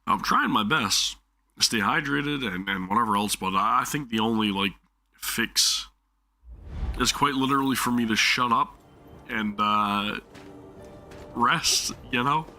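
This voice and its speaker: A man's voice: mid-range voice, measured pace, pauses often, pushed and bright, faint music behind. Man with a sore, failing voice. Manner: apologetic, rambling, many restarts. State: sore throat, losing his voice. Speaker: streamer. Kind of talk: casual live game stream commentary.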